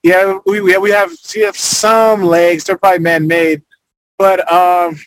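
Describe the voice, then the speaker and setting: A man's voice: sits high, fairly even intonation, a little slow, rough recording. A man from Arizona, a former football player, talking casually as a podcast guest, rambling with lots of fillers and restarts. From Arizona, United States.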